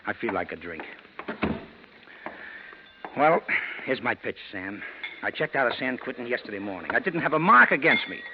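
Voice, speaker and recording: An actor playing a nervous, slangy American ex-convict in a 1940s radio crime drama, pleading and hesitant. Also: male voice, medium pitch, big pitch swings, normal speed, long pauses. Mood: insistent. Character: nervous, fast-pleading male ex-convict, slangy small-time crook. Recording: dull, noisy vintage radio recording.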